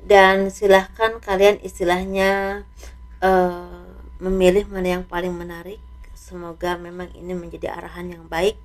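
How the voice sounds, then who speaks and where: A slightly hoarse woman doing a casual tarot reading, speaking softly and letting her phrases trail off. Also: fairly low pitch, level tone, measured pace. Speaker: tarot reader.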